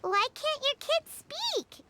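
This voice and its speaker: Tiny fairy companion: very high, childlike, excitable, animated game-character read. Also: female voice, slow, very noisy, exaggerated voice. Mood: whiny, curious. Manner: talks about self in third person, exclamatory. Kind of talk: video game character voice acting.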